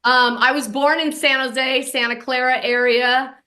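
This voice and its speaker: A middle-aged woman from Northern California hosts a podcast in a casual, animated chat. She talks with emphatic bursts and swears freely. Her voice is bright and sits a little high. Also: unhurried. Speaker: podcast host.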